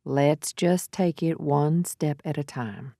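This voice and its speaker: A lady lawyer, low voice, earnest and calming, measured audiobook dialogue, very dry sound. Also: no room sound. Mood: soothing. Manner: measured, reassuring. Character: earnest, soothing female defense lawyer. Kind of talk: dramatic audiobook character dialogue.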